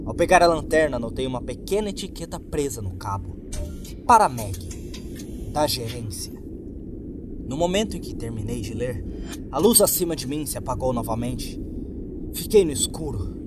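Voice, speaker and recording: Brazilian man narrating horror, expressive pitch. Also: normal speed, long pauses, background noise. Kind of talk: scripted first-person horror story narration. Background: background music.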